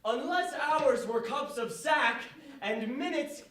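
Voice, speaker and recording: A man playing a playful, carefree young prince on stage in halting, mock-grand Shakespearean style. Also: very high-pitched, animated, fairly bright tone, full character voice. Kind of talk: theatrical Shakespearean stage acting. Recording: echoey hall, far mic, faint noise.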